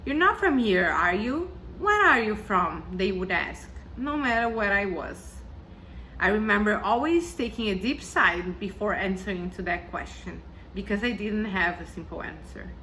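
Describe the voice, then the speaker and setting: A woman's voice: mid-range voice, animated, moderate pace, bright, forceful tone, a little hiss or hum, poor audio. A woman raised in Argentina and Brazil, with a South American accent and Spanish as her first language, giving a prepared, reflective talk as a guest speaker. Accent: South American Spanish and Portuguese. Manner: earnest, carefully read, reflective.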